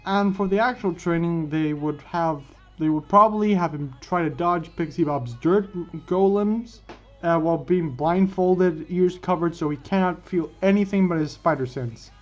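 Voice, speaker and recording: A man with a slightly high voice narrates a what-if fan story in a halting, informal way. He doubles back on phrases as he goes. The recording is a bit dull and lo-fi, with music underneath. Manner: halting, repeats and restarts phrases. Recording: dull lo-fi mic, music behind. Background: background music.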